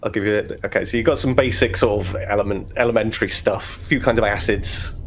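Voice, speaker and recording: A man's voice: on the low side, fast. A man running a tabletop roleplaying game, narrating and asking players questions in a casual conversational way. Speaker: game master.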